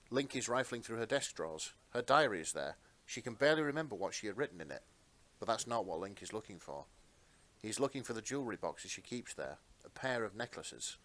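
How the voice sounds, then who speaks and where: A man narrating fan fiction as a podcast host, with expressive, sentimental storytelling. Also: fairly low pitch, brisk.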